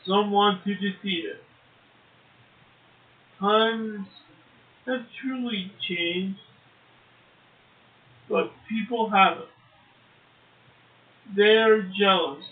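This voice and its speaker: Male poetry host, high voice, halting delivery, echoey phone-line sound. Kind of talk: slow poetry reading and chat.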